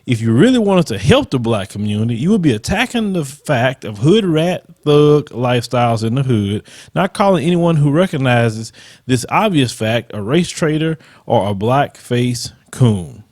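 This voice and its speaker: A man with a Southern US accent and a faint lisp takes on his detractors one comment at a time, combative and emphatic. His pitch rises and dips animatedly as he presses each point. The sound is dry and close, with no room around it. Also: sing-song, normal speed, a little mellow, dry recording. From Southern United States. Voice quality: slight lisp. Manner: combative, emphatic, reads comments aloud then rebuts. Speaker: channel host reading troll comments. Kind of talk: casual commentary.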